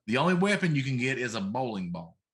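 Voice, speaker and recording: A man's voice: mid-range voice, sing-song, fast talker, fairly bright tone. Middle-aged man ranting casually. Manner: ranting, emphatic complaining. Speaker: talk show host. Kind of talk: casual chat about video games.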